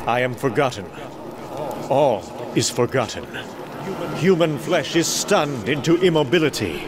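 A man's voice: medium pitch, lively intonation, unhurried, background noise, close to an everyday voice. A man playing a weary, disillusioned wanderer, narrating a comic book drama in a solemn, theatrical way. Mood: solemn, dramatic. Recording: loud music and sound effects behind.

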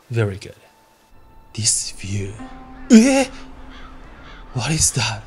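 A Japanese man with a Japanese accent giving casual, playful gameplay commentary, reacting aloud and laughing often. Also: mid-range voice. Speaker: gaming streamer.

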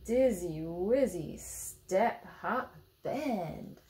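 A woman in her thirties with an American accent, reading a picture book aloud to young children expressively, pausing to ask them simple questions. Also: medium pitch, animated, mellow. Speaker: storytime reader for children. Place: indoor room beside a book display.

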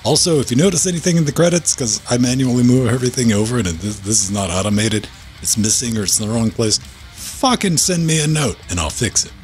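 A man hosting a podcast in casual, wry, deadpan chat with a bit of profanity, speaking into a studio mic in a home studio. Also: medium pitch, quick pace, soft background music. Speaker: podcast host.

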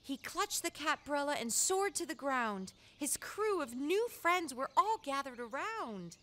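Animated, high-pitched woman reading to children. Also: unhurried. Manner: animated, playful, emphatic. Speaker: children's storybook reader. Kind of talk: expressive read-aloud with chatty asides for young children.

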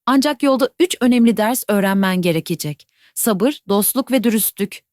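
A woman voicing a wise old owl in a children's storybook, speaking calmly and instructively. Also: medium pitch, moderate pace, no echo, clean studio sound, close to an everyday voice.

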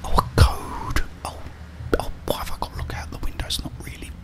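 A middle-aged man whispers ASMR gameplay commentary in a breathy voice, muttering and mildly grumbling to himself. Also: brisk. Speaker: gamer, ASMR video host. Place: indoors, small room at home.

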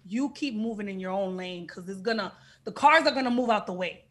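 A woman's voice: mid-range voice, brisk, a bit bright. A young woman with a Dominican-tinged accent, talking frankly and emphatically as an actress guest over a video call, now and then swearing. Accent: Dominican Spanish-tinged English. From Dominican heritage. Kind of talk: casual podcast interview. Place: indoor room with plain backdrop.

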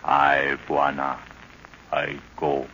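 An actor playing a loyal, fearless male warrior guide in an old-time radio adventure, solemn, speaking in halting broken phrases. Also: a bass voice, completely flat delivery, creaky, bright, forceful tone, full character voice. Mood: dutiful. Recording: muffled, noisy vintage radio recording.

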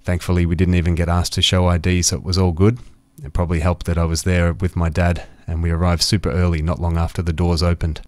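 A man introducing a podcast as its host in a relaxed narrating style. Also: sits low, quick pace, hardly any pauses, no room sound.

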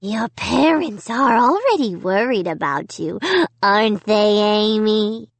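Woman voicing a slow, menacing, raspy living dummy. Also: mid-range voice, expressive, no echo. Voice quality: harsh, raspy, whispery. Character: sinister living ventriloquist dummy, male, cruel and commanding. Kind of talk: dramatized children's horror audiobook.

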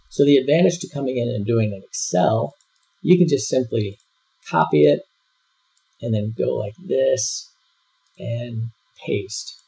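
A man giving a screen-recorded software tutorial, walking through each step in a relaxed way with frequent fillers. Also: medium pitch, creaky, husky. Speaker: software instructor. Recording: dull lo-fi voice-over.

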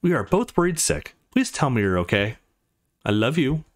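A man voicing an emotional, worried young woman in a visual novel, with an expressive delivery. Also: mid-range voice, normal speed. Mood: anxious, pleading. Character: worried, emotional, teasing young women texting a male friend.

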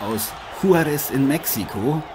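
A man's voice: on the high side, expressive, measured pace. A man playing a chummy, slightly grandiose celebrity co-commentator in an improvised boxing audio drama. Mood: friendly, engaged. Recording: noisy arena ambience behind voice.

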